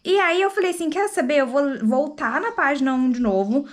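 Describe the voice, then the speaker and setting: Woman vlogger with a high voice who reviews books chattily to camera and checks in with viewers. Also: lively intonation, brisk. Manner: chatty, explains, asks viewers "right?". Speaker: book vlogger. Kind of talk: casual book review to camera.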